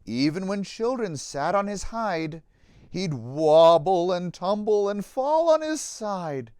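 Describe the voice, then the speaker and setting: A man reads a rhyming children's storybook in a sing-song, dramatic way. His pace is slow and his pitch moves freely up and down, in a close, dry recording. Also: sing-song, darkish tone, clear, resonant tone, no echo. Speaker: pastor reading a story to children. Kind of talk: expressive rhyming storybook reading.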